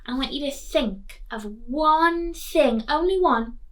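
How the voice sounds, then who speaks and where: A woman teacher reading a children's storybook aloud in an expressive, sing-song way, putting coaxing questions to young listeners. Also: high-pitched, slow pace.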